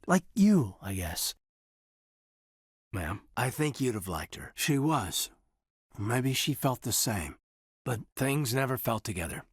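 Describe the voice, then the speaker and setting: An actor playing an earnest, guarded military officer in a video game, with a husky voice and a respectful, formal manner. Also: male voice, medium pitch, dry recording, studio quality, exaggerated voice. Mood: wistful, guarded. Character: earnest, guarded young soldier with biotic powers, a loyal officer.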